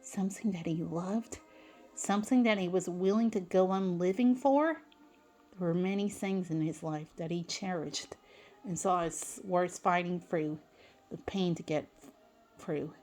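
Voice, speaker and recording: A woman's voice: on the low side, unhurried, darkish tone, faint music behind. A woman narrating fan fiction dramatically, stumbling over some words as she reads.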